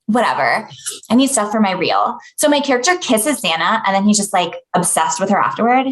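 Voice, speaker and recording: A woman's voice: medium pitch, big pitch swings, a little fast, natural voice, rough recording. Woman as blunt, dismissive young elf actress. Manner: dry, dismissive. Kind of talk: comedy table read.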